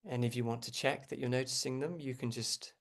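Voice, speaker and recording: A man leading a guided meditation as a teacher, speaking gently and without hurry, with frequent pauses. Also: mid-range voice, fairly even intonation.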